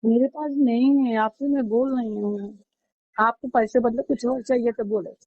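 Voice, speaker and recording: A woman's voice: fairly high pitch, steady tone, normal speed, no room sound, close to an everyday voice. A woman playing an evasive, coaxing middle-aged aunty in a casual staged phone call, heard over a dull phone sound. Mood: insistent.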